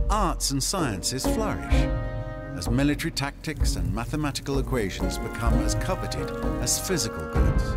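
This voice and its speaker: A man delivering scripted cinematic narration for a game, with a wry, deliberate storyteller's manner. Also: mid-range voice. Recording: voice over prominent music score.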